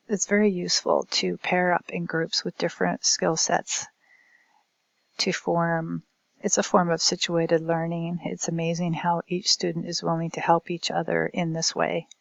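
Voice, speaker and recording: A woman giving a recorded academic presentation as a language instructor, speaking in a halting, hesitant way. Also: fairly low pitch, gravelly, dry recording, muffled recording.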